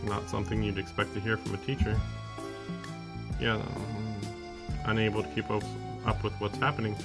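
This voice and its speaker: A man's voice: on the low side, monotone, normal speed, creaky. A man live streaming a visual novel, reading the text aloud and dropping in casual, sarcastic asides. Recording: music behind the voice. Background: game music.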